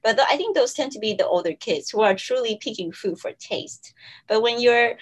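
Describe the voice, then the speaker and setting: A woman's voice: fairly high pitch, expressive, a little fast, breathy edge. A woman whose first language is Mandarin, a mother talking thoughtfully in a casual interview and laughing often.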